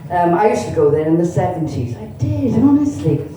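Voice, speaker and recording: A woman's voice: on the low side, sing-song, brisk, warm tone. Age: 60s. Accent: Cardiff Welsh. Woman, bawdy stand-up comic. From Cardiff, Wales. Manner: bawdy, chatty, teasing the crowd. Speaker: stand-up comedian. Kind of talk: stand-up comedy set. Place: comedy club stage. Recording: echoey venue, mic heard from a distance.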